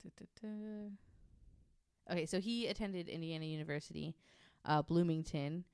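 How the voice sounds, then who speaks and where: A woman's voice: lowish voice, steady tone, unhurried, creaky, darkish tone, clear, resonant tone, dry recording, clean studio sound. A woman hosting a podcast, telling nonfiction stories casually from her notes with informal, wry asides. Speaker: podcast host.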